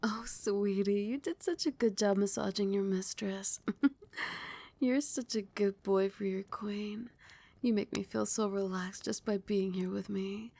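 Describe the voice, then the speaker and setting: A woman voicing a doting, commanding vampire queen in an intimate ASMR roleplay, with formal, coaxing speech and a breathy voice that often drops into whispers. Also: mid-range voice, fairly even intonation, mellow. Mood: pleased, tender. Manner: slow, formal, coaxing pet names.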